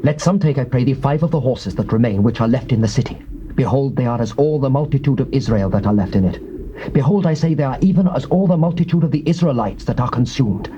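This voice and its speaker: A man's voice: mid-range voice, quick pace, warm tone, highs cut off, slight background noise, close to an everyday voice. A man playing an earnest royal servant. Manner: earnest, persuasive counsel.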